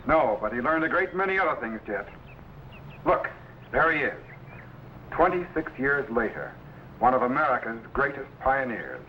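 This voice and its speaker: A man playing an authoritative captain and mentor in a 1950s radio sci-fi show, giving crisp commands and instructive explanations. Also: medium pitch, big pitch swings, moderate pace, pauses often, natural voice. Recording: noisy muffled old radio recording.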